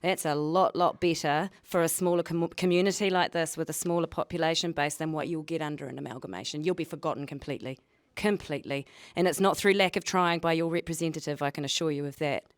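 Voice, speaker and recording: A woman's voice: low-pitched, quick pace, dry recording. A woman speaking emphatically and argumentatively at a public forum, repeating words for stress.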